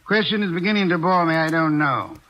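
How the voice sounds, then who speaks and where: An actor playing a haughty, pompous detective in a 1940s radio drama, dry and sardonic. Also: male voice, on the high side, animated, a little fast, close to an everyday voice. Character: haughty pompous male detective, fussy and imperious. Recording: dull lo-fi old radio recording.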